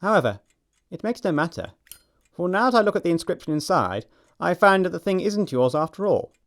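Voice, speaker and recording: An actor playing a flippant, witty young gentleman of leisure, breezy and arch, with an upper-class English accent. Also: sits high, big pitch swings, fairly quick. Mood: probing, amused. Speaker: actor in an audiobook production. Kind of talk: stage comedy acting.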